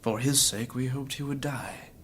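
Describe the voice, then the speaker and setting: A man's voice: medium pitch, fairly even intonation, moderate pace, a bit bright, slightly rough. A man playing a grave, guarded adventurer in a fantasy audio drama, speaking in solemn, formal, old-fashioned phrasing. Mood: grim, haunted. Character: grave battle-worn adventurer recounting past horrors, courteous, guarded.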